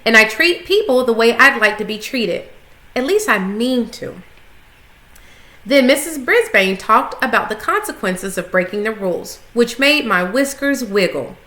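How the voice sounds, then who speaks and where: A woman reading a children's storybook aloud with expressive, clearly phrased narration. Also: on the high side, a little slow. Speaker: story reader, school coach. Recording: echoey room sound.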